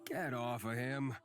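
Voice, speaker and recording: A man's voice: mid-range voice, clear, resonant tone. A man playing a hot-tempered, jealous and sarcastic young man in a visual novel game. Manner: irritable, sarcastic. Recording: music behind the voice.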